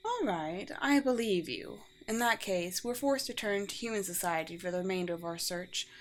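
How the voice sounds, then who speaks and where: A woman playing a courteous, teasing, world-weary vampire queen in a roleplay audio drama, with formal, old-fashioned and gently wry phrasing. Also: mid-range voice, close to an everyday voice. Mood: composed, matter-of-fact. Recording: clear background noise with ambience.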